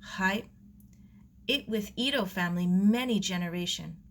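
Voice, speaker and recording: Female narrator as elderly Japanese gardener, Japanese accent, calm and sure, unhurried broken English, storybook character, noticeable background noise. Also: medium pitch, natural voice. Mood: gentle, instructive. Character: gentle old Japanese man, calm, proud gardener.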